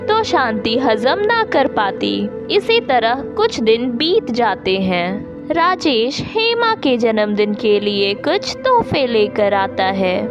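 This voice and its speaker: A woman narrates a scripted family moral tale. Her voice is high and animated, paced slowly, with music playing underneath. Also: lo-fi sound. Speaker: story narrator.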